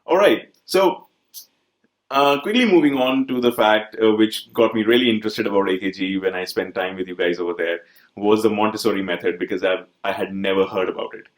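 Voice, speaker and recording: A man's voice: lowish voice, fairly quick. A man hosting an interview, asking curious, rambling questions with some hesitation. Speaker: podcast host. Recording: echoey room.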